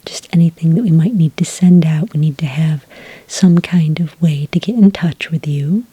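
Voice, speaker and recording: A woman doing a soft-spoken ASMR roleplay as a polite, patient clerk, whispering gently close to the microphone. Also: deep voice, no echo. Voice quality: whispery, breathy. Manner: gentle, polite, unhurried customer-service patter. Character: friendly, patient female registration clerk at a hunting agency.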